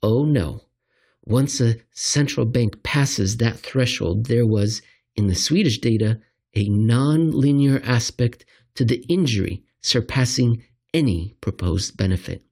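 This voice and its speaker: Guy w a husky voice reading out a finance commentary, average pitch, leans on words for emphasis. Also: a little slow, darkish tone. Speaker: reader of a written commentary. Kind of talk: read-aloud financial commentary.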